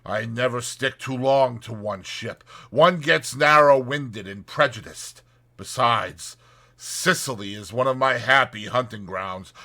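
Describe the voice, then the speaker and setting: A man's voice: medium pitch, measured pace, vocal fry, sharp tone, heavily acted. A man voicing a worldly, boastful seafaring rat who savors old voyages with grand storytelling flourishes, in a dramatized audiobook. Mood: contented. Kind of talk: dramatized audiobook character reading.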